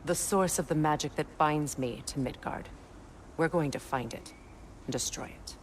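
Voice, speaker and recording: An actress playing a proud, wary goddess in a video game, speaking in a curt, formal and commanding manner. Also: female voice, sits low, moderate pace, slight background noise, lightly acted. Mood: grim resolve. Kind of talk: dramatic video game acting.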